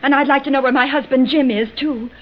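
A woman acts a jealous, suspicious wife in a 1940s radio serial. Her voice is high and her manner confrontational. The sound is dull and dated. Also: fairly even intonation, fast talker, close to an everyday voice. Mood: accusing. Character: suspicious, accusing, wronged wife. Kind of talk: 1940s-50s radio drama acting. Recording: muffled old radio recording.

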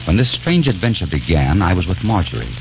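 A man's voice: low voice, very animated, brisk, background noise. An actor playing a young American man obsessed with the past, in an old radio drama, narrating earnestly. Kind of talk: 1940s radio drama acting. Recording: dull old radio recording.

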